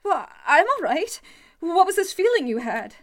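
Woman acting anxious high-voiced radio heroine. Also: sing-song, moderate pace. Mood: worried. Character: Meredith, anxious young woman in a thriller. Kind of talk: old-time radio drama acting.

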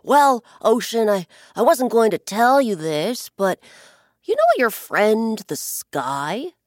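A woman's voice: on the high side, sing-song, takes it slowly, dry recording, studio quality. A woman voicing a scheming, mischievous male blackbird in a children's story, talking in a sly, conspiratorial way.

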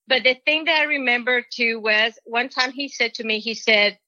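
A woman's voice: a little high, fairly even intonation, sharp tone, dry recording. Earnest woman with a Mexican accent. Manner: rambling, earnest, halting restarts. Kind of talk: personal testimony. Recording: dull lo-fi recording.

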